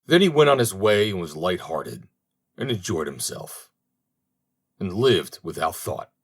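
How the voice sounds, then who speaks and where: Lower-pitched man narrating a fairy tale. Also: big pitch swings, a little slow, breathy edge. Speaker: audiobook narrator.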